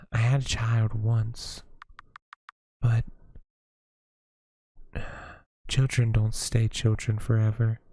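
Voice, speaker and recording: A man voicing a lonely, motherly witch woman who lives alone in the woods, speaking gently and hesitantly with sighs. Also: on the low side, a little hiss or hum. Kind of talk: audio roleplay acting.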